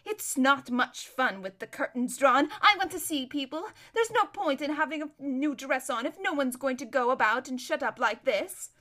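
A woman reading a storybook, voicing a vain, giggly young noblewoman who talks in a loud, gushing, lazy drawl. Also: high-pitched, normal speed, few pauses. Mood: breezy, gossipy.

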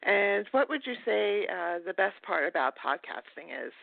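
A man hosting a casual interview, chatty and quick to laugh as he asks his questions. His voice sits a little high for a man. It sounds dry and tinny, as if over a phone line. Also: very animated, creaky, dry recording. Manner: chatty, laughs between remarks. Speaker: podcast host.

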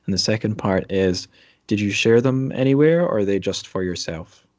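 A man with a low voice hosts a podcast interview. He puts his questions in a casual, conversational way. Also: moderate pace, darkish tone.

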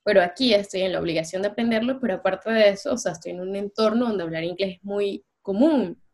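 A woman's voice: medium pitch, fast talker, darkish tone. A woman from Venezuela, interviewing a guest in a chatty, self-deprecating way. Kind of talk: conversational interview.

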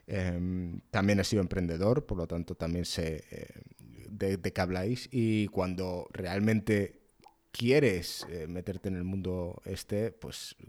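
A man's voice: deep voice, steady tone, slow pace, a little mellow. A man with a European Spanish accent, speaking casually as the host of a podcast interview.

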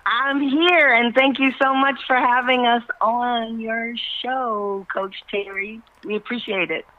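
A woman speaking over the phone as an interview guest, earnest, deliberate and emphatic. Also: fairly high pitch, fairly even intonation, no room sound. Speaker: stage actress, interview guest. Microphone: telephone. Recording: dull, dry phone-in line.

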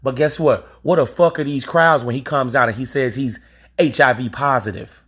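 A man in his forties talks alone into his podcast mic. His voice sits at an ordinary middle pitch, and his delivery is emphatic and opinionated, with the odd curse thrown in. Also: normal speed, fairly bright tone, slightly rough. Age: 40s. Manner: emphatic, opinionated, with occasional swearing. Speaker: podcast host. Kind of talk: solo podcast monologue.

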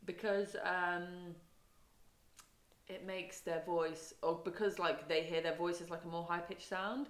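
Casting note: low-voiced woman co-host, easy chatty storyteller, echoey room. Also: steady tone, a little slow, fairly bright tone. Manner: chatty, laughs often, tells rambling stories. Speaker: podcast co-host. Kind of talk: casual chat.

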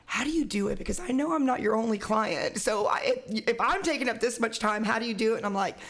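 Raspy-voiced woman realtor, gravelly. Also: on the high side. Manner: quick, laughing, self-deprecating talk. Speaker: realtor, podcast guest. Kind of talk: casual interview chat.

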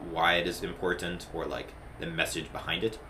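A young man co-hosting a podcast in casual chat, speaking in a low, gravelly, monotone way, measured and a little hesitant. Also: slight background noise. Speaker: podcast co-host.

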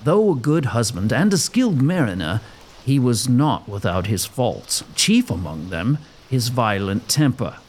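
A man's voice: mid-range voice, very animated, a little slow. A man playing a storyteller who narrates a gothic sea legend in a dramatic scripted style. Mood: storytelling.

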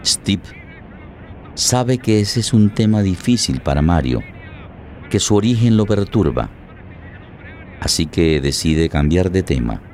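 A man's voice: sits low, very animated, slow, long pauses, darkish tone, slight background noise. A man narrating a radio play in a dramatic, scripted style. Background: music.

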